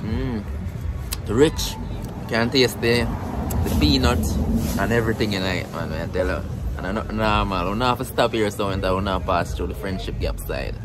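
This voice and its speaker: A man's voice: lowish voice, moderate pace, very noisy. Jamaican man vlogging street food outdoors. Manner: chatty, addresses viewers as "people". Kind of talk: casual street vlog talking to viewers. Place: outdoors on a roadside by food stalls. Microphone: handheld phone camera.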